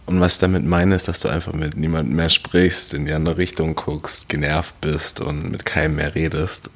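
A man with a very deep, gravelly voice co-hosts a casual podcast. He explains his points earnestly and step by step. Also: flat delivery, moderate pace. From half American, half German, born in Germany. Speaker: podcast co-host.

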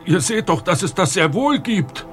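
A man's voice: sits high, very animated, normal speed, fairly bright tone, faint music behind. An actor playing a haunted, worried man in an audio drama, hesitant and easily worked up. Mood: agitated, defiant. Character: haunted, agitated grown man, a worried client. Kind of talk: German audio drama acting.

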